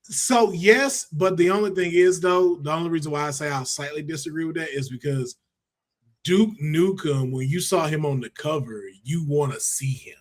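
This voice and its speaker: American man, podcast host, slightly high-pitched, slangy, profane, animated, casual chat. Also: normal speed. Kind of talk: casual podcast chat about movies and games.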